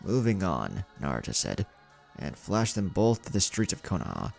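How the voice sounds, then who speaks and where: A man's voice: low-pitched, very animated, normal speed, gravelly, darkish tone. A man narrating a scripted fan fiction story. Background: music.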